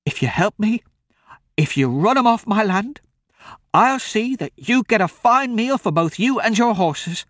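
A man voicing a frightened old farm woman who pleads in a shrill, breathless way. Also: lively intonation, unhurried, a bit bright, no room sound, clean studio sound. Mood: frightened pleading.

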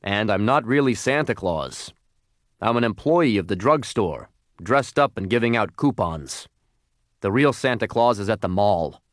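A man's voice: lowish voice, expressive, unhurried, no room sound, clean studio sound. A man voicing a weary, blunt store employee dressed as Santa in a storybook. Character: weary, blunt overweight drugstore Santa impersonator, male.

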